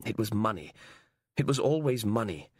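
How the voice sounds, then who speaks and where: A man's voice: on the low side, very animated, moderate pace, a little mellow, husky, dry recording. A man reading a whiny, self-pitying male inmate who puts on a fake posh accent. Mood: shaken. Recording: dry close studio sound.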